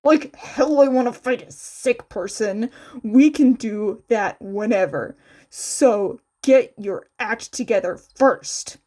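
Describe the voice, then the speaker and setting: A woman voicing a brash, hot-tempered teenage boy with a loud, gruff manner in a story reading. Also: a little high, takes it slowly. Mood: angry.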